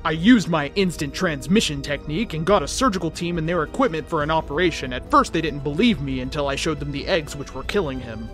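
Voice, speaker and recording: A man's voice: on the high side, animated, quick pace, hardly any pauses, a bit bright, soft background music. A man reading a story aloud as host, with a theatrical, comedic delivery that acts out the dialogue.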